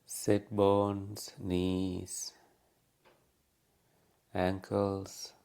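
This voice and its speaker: A man leading a guided meditation as a teacher, speaking calmly and unhurriedly with long pauses. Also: low voice, vocal fry, clean tone.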